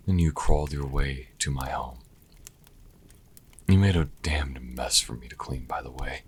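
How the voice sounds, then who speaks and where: A man's voice: a bass voice, breathy edge, full character voice. A man playing a sassy vampire and reluctant caretaker in an audio roleplay, speaking dryly and sardonically to the listener. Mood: dry, calm, then sardonic complaint. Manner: dry, sardonic, unhurried. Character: sassy male vampire, former thrall, grudging caretaker.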